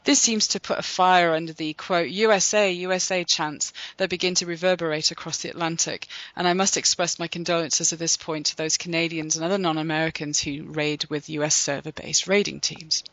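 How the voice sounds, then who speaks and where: A podcast host reading a scripted recap in a steady, measured way, then introducing guests. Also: medium pitch, no echo, lo-fi sound.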